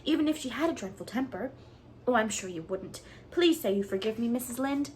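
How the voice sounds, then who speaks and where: A woman's voice: medium pitch, expressive, a little fast, a little hiss or hum, lightly acted. A woman voicing a talkative, imaginative orphan girl who pleads in a theatrical, breathless way. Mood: pleading.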